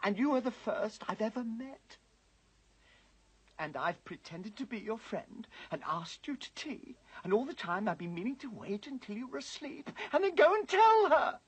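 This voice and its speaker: An actor playing a timid, guilt-ridden but kindly faun in an animated fantasy, anxious and often hushed. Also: medium pitch, moderate pace, husky, low-quality recording. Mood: anguished confession. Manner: hushed, anxious, expressive, trailing into whispers. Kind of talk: animated fantasy film acting.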